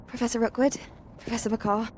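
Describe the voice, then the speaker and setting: A woman's voice: fairly high pitch, level tone, fairly quick, a little mellow, slight background noise, natural voice. An actress playing an earnest, eager female student at a wizard school in a video game, polite and inquisitive. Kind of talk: scripted video game acting.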